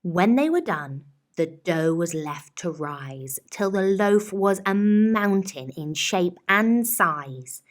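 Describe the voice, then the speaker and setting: Lady reading a kids picture book, really slow n sing-song rhythm, super animated, dramatic. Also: lowish voice. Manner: dramatic, rhythmic rhyming delivery with drawn-out words. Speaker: storyteller.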